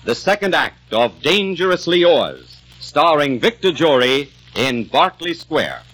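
A man announcing the next act of an old radio drama, formal and measured. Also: on the high side, sing-song, heavy background noise.

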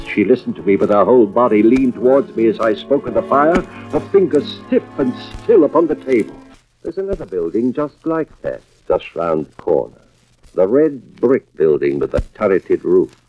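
A man's voice: on the low side, natural voice. Man acting grave suspicious husband, muffled old radio drama. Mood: probing, grave. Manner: deliberate, dramatic, measured delivery. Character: grave suspicious husband, fire investigator, narrating his own story. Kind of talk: 1940s-50s radio drama acting, first-person narration. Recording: muffled vintage radio recording with music behind.

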